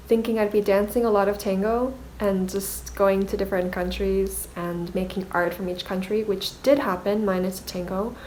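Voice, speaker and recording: Slow, even-toned woman vlogger, native Mandarin speaker. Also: on the low side, slight background noise, poor audio. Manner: self-conscious, hesitant. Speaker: artist vlogger. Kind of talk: reflective video diary.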